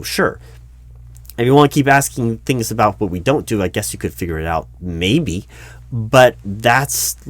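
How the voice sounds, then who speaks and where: Halting, lower-pitched man as a hiring manager. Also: no echo. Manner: halting, stammering, hesitant. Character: awkward, skeptical but polite male hiring manager. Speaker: roleplay performer as recruiting manager. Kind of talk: one-sided interview roleplay, ASMR spoken out loud. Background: computer keyboard typing.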